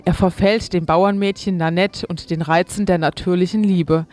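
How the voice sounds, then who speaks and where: A woman's voice: on the low side, steady tone, moderate pace, darkish tone. A woman radio presenter reading scripted event listings and programme announcements. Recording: music behind the voice. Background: music.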